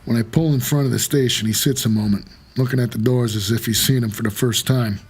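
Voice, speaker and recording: An actor playing a doomed, haunted taxi driver for the dead, narrating an audio drama in a world-weary, measured noir manner. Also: male voice, mid-range voice, fairly even intonation, darkish tone, slightly rough. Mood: wry, observant.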